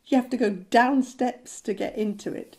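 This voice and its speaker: A woman's voice: fairly high pitch, moderate pace, warm tone. An older woman giving a chatty, practical painting tutorial from a small room at home. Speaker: art tutor.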